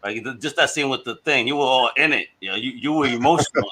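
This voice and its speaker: A man's voice: mid-range voice, fast talker, sharp tone, low-quality recording. A man hosting a podcast, enthusiastic and gushing in casual chat. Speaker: podcast host.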